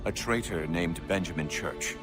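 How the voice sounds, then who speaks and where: A man's voice: sits low, moderate pace, over music, close to an everyday voice. A man playing a dignified, grave military commander in a video game, reserved, with formal, clipped statements. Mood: grave, preoccupied. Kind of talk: dramatic video game acting.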